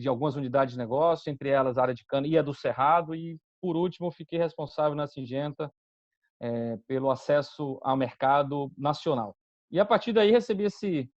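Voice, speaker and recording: Bahian man with a measured, dry voice. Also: a little high, no echo. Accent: Bahian Brazilian Portuguese. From Salvador, Bahia, Brazil. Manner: measured, with frequent restarts and fillers. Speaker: guest, company executive. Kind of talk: interview answers. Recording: dull, dry lo-fi sound.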